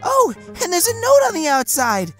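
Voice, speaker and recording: A man voicing an excitable, sweet, naive young dragon head in a cartoon comic dub. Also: extremely high pitch, moderate pace, soft background music, voice pushed up, heavily acted. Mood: excited.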